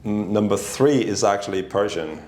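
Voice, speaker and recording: A middle-aged man from Norway, a native Norwegian speaker, answering interview questions thoughtfully with many pauses. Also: lowish voice, animated, darkish tone. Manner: thoughtful, hesitant, many ums. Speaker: guest, company founder. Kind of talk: casual interview. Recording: echoey room.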